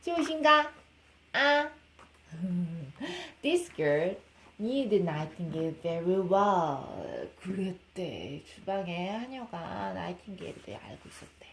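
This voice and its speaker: A woman's voice: fairly low pitch, very animated, racing, a bit bright. A young woman, a native Korean speaker with a Korean accent, reading a storybook aloud to her baby and chatting to the child in between. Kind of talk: storybook read-aloud with informal baby talk.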